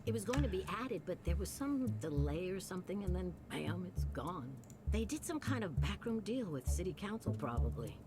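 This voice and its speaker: A woman's voice: lowish voice, sing-song, normal speed, noisy background. An actress playing a chatty, suspicious older woman who rambles in conversation, as voice acting for a video game. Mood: indignant.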